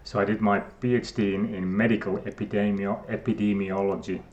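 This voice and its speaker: A man's voice: fairly low pitch, level tone, a little fast, a little mellow, muffled recording. Hesitant Finnish-accented man. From Finland. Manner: hesitant, many fillers and restarts. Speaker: guest scientist. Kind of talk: casual interview talk.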